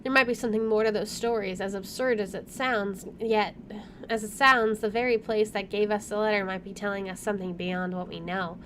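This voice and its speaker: A woman's voice: mid-range voice, fairly even intonation, fairly quick, fairly bright tone, a little hiss or hum. A woman hosting a let's play, reading visual novel narration aloud, stumbling over words now and then and adding side comments.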